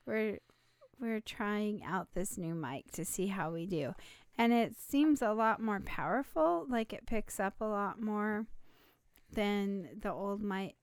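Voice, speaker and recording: A middle-aged woman co-hosting a casual podcast with a slightly raspy voice, chatting and laughing often. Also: medium pitch, slow, dry recording. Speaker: podcast co-host. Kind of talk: casual chat.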